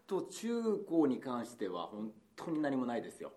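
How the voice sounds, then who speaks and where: A man's voice: mid-range voice, huge pitch swings, moderate pace, mellow. A man in his forties co-hosting casual comedic banter, playful and reactive, often echoing what others say. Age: 40s. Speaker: radio show co-host.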